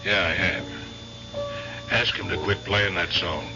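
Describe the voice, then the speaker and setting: An actor playing an uneasy, evasive middle-aged bar patron in a radio drama, hesitant and stammering. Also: male voice, sits low, steady tone, measured pace. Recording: muffled old radio recording with music.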